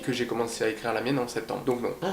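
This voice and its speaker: A man's voice: medium pitch, steady tone, racing, creaky. A young man with a cold chatting casually to camera in his bedroom, rambling with lots of fillers, recorded on a cheap desk mic. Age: young adult. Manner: rambling, filler-heavy, self-deprecating. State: congested with a cold. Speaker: YouTuber. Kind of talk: casual vlog chat. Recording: echoey room with background music.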